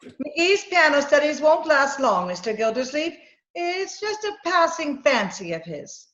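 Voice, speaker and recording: A woman's voice: high voice, sing-song, unhurried, fairly bright tone, exaggerated voice. A woman playing a patient, wry, affectionate wife in an old-time radio comedy. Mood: soothing. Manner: wry, soothing. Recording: dull, echoey internet call recording.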